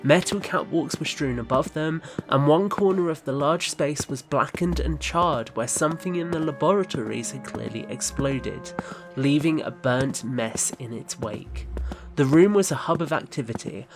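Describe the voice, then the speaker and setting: A man's voice: fairly high pitch, moderate pace, soft background music. A man reading scripted third-person story narration for an audio drama.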